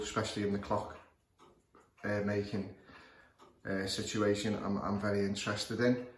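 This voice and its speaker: A man with a broad Liverpool accent and a lowish voice talks through a grandfather clock in a casual, halting way, often stopping to restart his sentences. He sounds like he is filming on a phone in a room full of clocks. The room is echoey, with a faint hiss behind him. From Liverpool, England. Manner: hesitant, many fillers and restarts. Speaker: clock repairer. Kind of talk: casual show-and-tell commentary.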